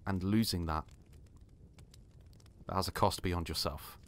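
A man's voice: low-pitched, a little slow, a bit bright, a little hiss or hum. A man playing a mysterious stranger, earnest, insistent and ominously knowing, in a dramatic script reading. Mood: grave, knowing.

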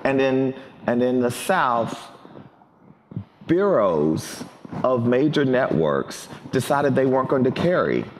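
A middle-aged man speaking as a panelist with deliberate emphasis, through a headset mic on a conference stage. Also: mid-range voice, very animated. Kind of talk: panel discussion. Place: large banquet hall on stage. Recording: long hall reverb, faint noise.

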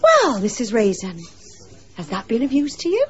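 A woman's voice: on the high side, huge pitch swings, moderate pace, lo-fi sound. An actress playing a saucy, gossipy village woman in a radio drama, with a teasing, knowing, colloquial way of talking. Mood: confiding.

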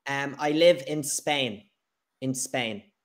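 Young Irish man teaching, medium pitch. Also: takes it slowly. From Ireland. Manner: simple phrasing, repeats key words for learner. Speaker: English teacher. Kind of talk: casual conversational lesson. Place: kitchen indoors, video call. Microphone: video call device.

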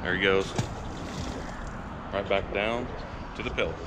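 A man with a fairly low voice narrates his fishing outdoors to a camera, casual and chatty. He bursts into loud, excited cheers whenever a fish bites. The outdoor recording is windy, with clear background noise. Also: measured pace. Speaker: fishing vlogger. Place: outdoors by urban water, windy.

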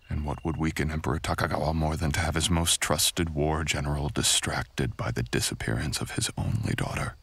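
A man playing a menacing, bitter, seductive samurai in an intimate ASMR roleplay, husky and breathy, often dropping into a whisper, with a taunting manner. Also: very deep voice, a bit bright, heavily acted. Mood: conspiratorial, menacing.

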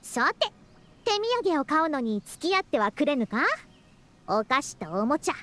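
High-pitched, proud anime scholar girl. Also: sing-song, moderate pace, bright, forceful tone, exaggerated voice. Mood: brisk. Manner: archaic elderly-style phrasing, self-important yet caring. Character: proud, youthful-sounding woman scholar who speaks like an elderly senior. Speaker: scholar and teacher. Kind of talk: Japanese anime-style video game voice acting.

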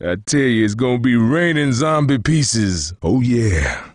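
An actor voicing a big, cocky, good-humored middle-aged survivor coach in a zombie video game, with a folksy Southern American accent and emphatic, salty delivery. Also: male voice, medium pitch, lively intonation, measured pace, dry recording, studio quality. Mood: gleeful, cocky. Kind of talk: video game character voice lines.

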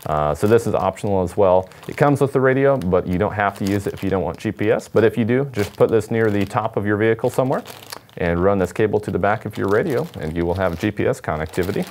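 A man's voice: fairly low pitch, quick pace, a little mellow. A man, giving a casual unboxing demonstration as a product presenter. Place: indoor studio at a table.

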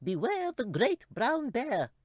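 A man voicing a weary, cranky little male talking chipmunk with a squeaky voice in a fantasy audiobook. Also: huge pitch swings, takes it slowly, dry recording, studio quality, exaggerated voice. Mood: weary, gloomy. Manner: scolding, gloomy warnings. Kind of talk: audiobook character voice.

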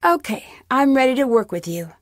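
A woman's voice: high-pitched, very animated, normal speed, no echo, clean studio sound. A woman voicing an inquisitive, friendly young amateur sleuth in a video game, speaking in a questioning, conversational way. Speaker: game protagonist. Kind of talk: video game dialogue lines.

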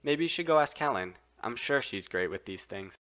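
A man's voice: mid-range voice, expressive, normal speed, a bit bright, lightly acted. A man voicing a laid-back young monster coffee seller in a cartoon, with a casual, easygoing manner. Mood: concerned, helpful. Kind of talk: animated web series voice acting.

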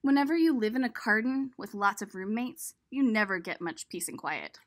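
A woman's voice: mid-range voice, animated, moderate pace, lightly acted. Woman reading as a chatty, fretful storybook egg. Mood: content. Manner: chatty, addressing the listener. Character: worry-prone, solitary male egg homebody from a picture book. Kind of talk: expressive storybook read-aloud.

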